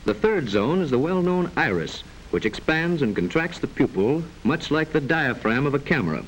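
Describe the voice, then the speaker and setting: A man's voice: a little high, very animated. Old 1940s edu film narrator guy, formal teachy delivery, lots of up and down in the voice, bit of noise on the old recording. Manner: formal, measured, didactic. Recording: noisy vintage 1940s film soundtrack.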